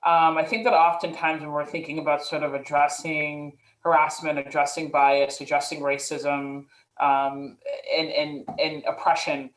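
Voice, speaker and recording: A woman's voice: low-pitched, little pitch movement, moderate pace, reverberant, rough recording. A woman speaking thoughtfully as a panelist in a webinar discussion, often hedging with "sort of" and "like.". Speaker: panelist, mental health professional.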